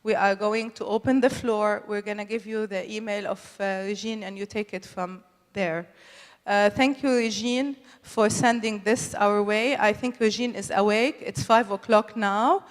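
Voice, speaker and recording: A woman introduces a session as its host, speaking without a script. Her delivery is halting, with hesitations between phrases. The sound carries the long echo of a hall. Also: medium pitch, fairly even intonation. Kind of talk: unscripted spoken introduction at a public event. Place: conference hall, public event. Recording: echoey hall with long reverb.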